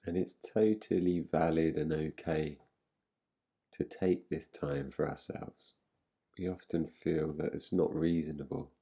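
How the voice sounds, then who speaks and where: Slow, low, gravelly male meditation guide. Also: fairly even intonation, highs cut off. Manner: unhurried, soothing, gentle instruction with long pauses. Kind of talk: guided relaxation meditation, yoga nidra.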